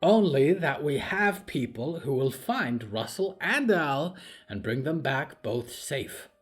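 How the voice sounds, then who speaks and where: A man's voice: on the high side, sing-song, takes it slowly, soft background music, pitched above the performer's own voice. A man voicing a diplomatic woman scout leader in an audio drama, soothing, polite and earnest. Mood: placating. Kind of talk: audio fiction story acting.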